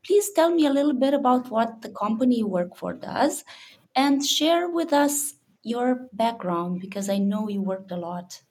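A woman hosting a podcast, introducing her guest warmly and asking questions in a friendly, effusive way. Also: a little high, expressive, moderate pace, husky. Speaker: podcast host. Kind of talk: interview hosting.

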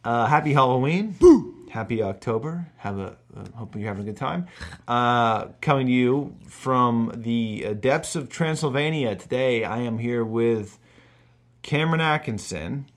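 A man's voice: medium pitch, animated, measured pace. A young man hosting a comedic podcast in a loose, joking way with lots of fillers. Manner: loose and jokey, with frequent fillers. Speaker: podcast host. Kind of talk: casual comedic podcast chat.

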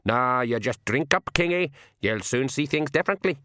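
An old Scottish pixie, acted out by a man, bossy and folksy, speaks in blunt, coaxing dialect. The voice swings up and down in pitch, recorded close and dry, as a storybook character. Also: expressive, moderate pace, pushed and bright, dry recording. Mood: wry, cajoling. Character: old male pixie, bossy, folksy spokesman for his chief. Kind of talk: audiobook character voice.

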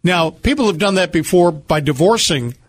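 A man with a slightly high voice works as a radio co-host. He talks conversationally and tells stories with a dry, teasing sense of humor. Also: animated, moderate pace. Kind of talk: conversational radio talk with storytelling. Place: radio studio.

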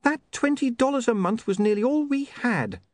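A man voicing a prim, sharp young lady, indignant and suspicious, in an audiobook story. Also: extremely high pitch, animated, moderate pace, dry recording, voice pushed up.

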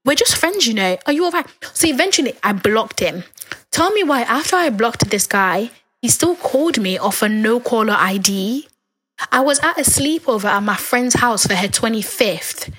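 A young woman in her twenties telling a story in a casual chat, animated and dramatic as she acts out the quoted lines. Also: a little high, normal speed, breathy edge, poor audio.

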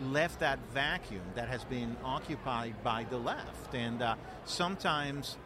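A man from Puerto Rico speaks earnestly in an interview, stopping often on fillers and repeated words. His voice sits at an ordinary pitch. Traffic and street noise run clearly behind him outdoors. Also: unhurried. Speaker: guest, political director. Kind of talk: conversational interview. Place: outdoor event.

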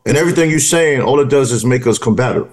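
A man's voice: medium pitch, lively intonation, quick pace. A man co-hosting a podcast, arguing his points firmly in casual conversation. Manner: argumentative, stresses points firmly. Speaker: podcast co-host.

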